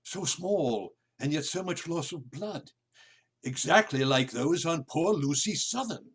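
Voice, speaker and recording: An actor playing an earnest, skeptical physician in a radio play, speaking emphatically. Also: male voice, on the high side, lively intonation, measured pace, breathy edge. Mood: troubled.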